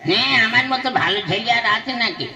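A man's voice: very high-pitched, lively intonation, quick pace, a crowd in the background. A man voicing a cocky, precocious village schoolboy in a comic puppet show, with a smug and cheeky manner. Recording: distant from the microphone.

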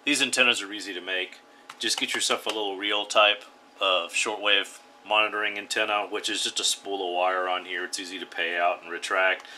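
A man hosting a radio hobby channel, calmly talking viewers through a hands-on build step by step. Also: low voice, brisk, a little hiss or hum. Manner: plain, instructive, step-by-step. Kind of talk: DIY tutorial voice-over.

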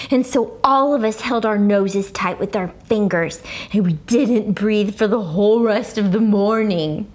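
A woman in her thirties reading a children's book aloud as an expressive, dramatic storyteller. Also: fairly high pitch. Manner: animated, dramatic. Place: furnished living room, indoors. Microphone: lapel mic.

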